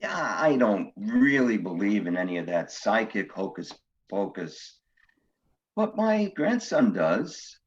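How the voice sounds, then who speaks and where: A man's voice: on the low side, sing-song, slow pace. An actor playing a courteous, precise widowed grandfather courting on a video date in a stage comedy, earnest and a little formal, with dull, muffled sound. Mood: skeptical, amused.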